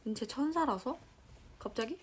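Young Seoul woman, bubbly live-stream host, lively storytelling, higher-pitched, phone-recorded. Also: moderate pace, breathy edge. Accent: Seoul Korean. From Seoul, South Korea. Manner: animated storytelling, acting out quotes, giggly. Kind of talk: casual live-stream chat. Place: small indoor room, plain wall. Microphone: phone camera at arm's length.

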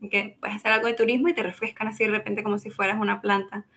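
A woman's voice: medium pitch, fast, gravelly. A young woman from Venezuela, a guest chatting casually and cheerfully in an interview. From Venezuela (Caracas). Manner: chatty, lively, laughs easily.

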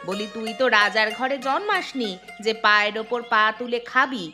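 A woman's voice: a little high, moderate pace, music in the background, lightly acted. A woman voicing a careworn poor village mother with an earthy, nagging manner in a fairy-tale cartoon. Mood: scolding. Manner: nagging, earthy, sharp-tongued.

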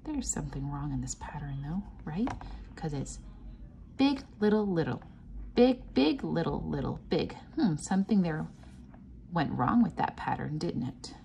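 Female children's teacher, lowish pitch, encouraging and questioning read-along delivery, faint room noise. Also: big pitch swings, unhurried, vocal fry, dark tone. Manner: encouraging, questioning, chanting patterns aloud. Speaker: teacher reading a picture book to children. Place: indoors at a table.

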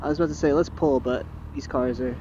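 A man vlogging from his motorcycle at night, chatting with a friend in a relaxed, joking way and trailing off mid-sentence. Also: medium pitch, fast. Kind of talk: casual chat while riding. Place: outdoors on a motorcycle on city streets at night. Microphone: helmet or bike-mounted action camera. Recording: muffled helmet mic with road noise.

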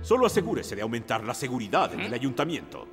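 Wildly animated, scheming pompous mayor. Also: male voice, medium pitch, quick pace, big-room sound, over loud music. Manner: theatrical, oily, blustering. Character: scheming, pompous, easily panicked middle-aged male mayor. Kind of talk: animated cartoon dubbing.

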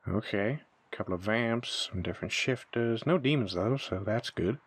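A man's voice: fairly low pitch, normal speed, breathy edge, no echo. An irreverent young shifter, played by a man in an audio drama. He is flippant and full of wisecracks. The sound is dry, with no room on it. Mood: wry. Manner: flippant, joking. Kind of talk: audio roleplay drama acting.

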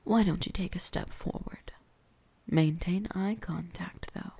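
A breathy, husky whisper with a creaky edge, dark and soft. It is a possessive vampire huntress played by a woman in an ASMR roleplay, slowly teasing and commanding her prey with quiet menace. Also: on the low side, heavily acted. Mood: hypnotic, commanding.

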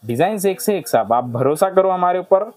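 Rapid-fire Hyderabadi shop salesman. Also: high voice, expressive, darkish tone, no echo. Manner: eager, repetitive sales patter. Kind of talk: sales pitch to camera. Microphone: clip-on lapel mic.

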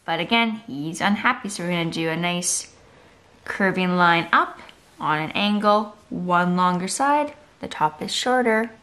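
A woman's voice: on the low side, expressive, a little slow, fairly bright tone. A woman art teacher giving a step-by-step drawing tutorial for children, in simple, encouraging instructional phrases.

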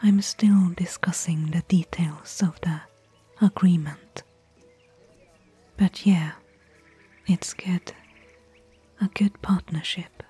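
Slow, breathy whispering woman as a bookish explorer. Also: lowish voice, steady tone, soft background music, exaggerated voice. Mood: gentle, caring. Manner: slow, gentle, pausing between short phrases. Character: gentle, bookish female desert explorer, caring companion. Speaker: ASMR roleplay performer. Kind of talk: soft whispered ASMR roleplay.

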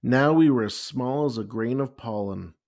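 A man reading a children's picture book aloud with expressive, deliberate storytelling. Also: mid-range voice. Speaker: story time reader.

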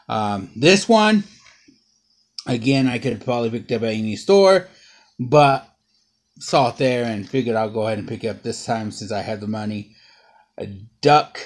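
A man in his thirties, bruised and sore after a fall, rambling through a casual haul vlog at home with plenty of ums and uhs, recorded by a close low-angle camera. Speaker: vlogger. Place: living room at home.

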